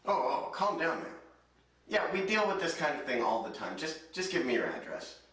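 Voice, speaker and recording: A man's voice: a little high, big pitch swings, brisk, fairly bright tone, a little hiss or hum. A man playing a sensible young amateur ghost hunter in a student TV sitcom, with a dry, hesitant, exasperated manner. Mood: flustered. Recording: roomy, distant mic with music behind.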